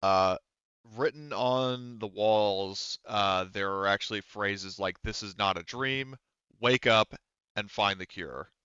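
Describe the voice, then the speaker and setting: A man narrating a tabletop game as game master in a casual, halting, rambling, conversational way. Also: lowish voice, sharp tone, clean tone, no echo, highs cut off.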